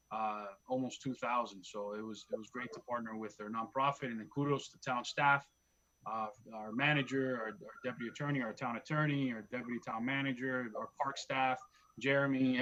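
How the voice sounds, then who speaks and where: A man's voice: medium pitch, a bit bright, lo-fi sound, slight background noise. A man serving as mayor, chairing a town council meeting, thanking the guests and inviting questions. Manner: appreciative, moves the meeting along.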